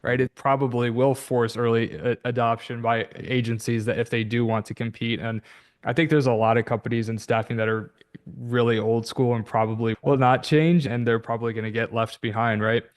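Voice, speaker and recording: A man's voice: medium pitch, fairly even intonation, a little fast. A man hosting a podcast interview, agreeable and often checking his points with tag questions. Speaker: podcast host. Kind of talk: conversational interview.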